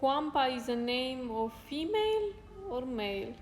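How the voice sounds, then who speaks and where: A woman whose first language is Spanish, chatting casually with viewers on a live stream, unhurried and pausing to search for words. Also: high voice, very animated, a little hiss or hum. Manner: unhurried, pauses to search for words, light laughs. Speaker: fitness streamer. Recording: roomy echo with faint music.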